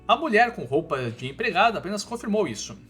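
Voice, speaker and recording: A man's voice: high voice, very animated, fast, fairly bright tone. A man with a Brazilian accent narrating a scripted story recap in a colloquial, informal way with casual asides. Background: background music.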